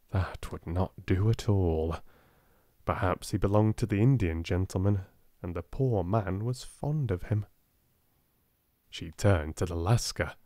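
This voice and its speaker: A man with a British accent reading a storybook aloud in a soft-spoken, gentle, expressive way. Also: fairly low pitch, dry recording, studio quality. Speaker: audiobook narrator.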